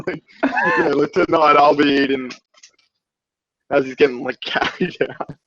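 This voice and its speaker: A man's voice: a little high, sing-song, unhurried, a bit bright, dry recording. Young Australian male, joking casual podcast host. Dull, dry lo-fi audio. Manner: slangy, sweary, joking. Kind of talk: casual chat.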